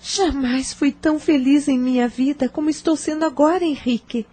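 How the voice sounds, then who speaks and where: A woman's voice: sits high, steady tone, moderate pace, mellow, natural voice. A woman playing a humble, self-sacrificing, shamed wife in an audio novel. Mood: tender, pleading. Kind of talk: audio novel dramatic acting.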